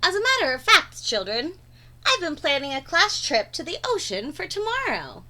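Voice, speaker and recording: A woman's voice: very high-pitched, wildly swooping pitch, moderate pace, sharp tone, pitched above the performer's own voice, full character voice. A woman voicing an eccentric, eager female schoolteacher from a storybook, explaining things with enthusiasm.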